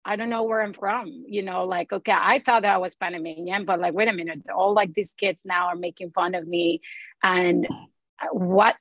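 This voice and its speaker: Woman, English, lively storytelling, very dry close sound. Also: lowish voice, normal speed, no echo, lo-fi sound. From Mexico. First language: Spanish. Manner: animated storytelling, acts out quoted voices. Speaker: guest, film producer. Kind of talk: conversational interview.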